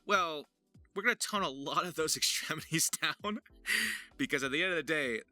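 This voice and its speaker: A man's voice: mid-range voice, sharp tone. A man giving a casual tutorial as a voice actor, with an emphatic, jokey, self-deprecating manner.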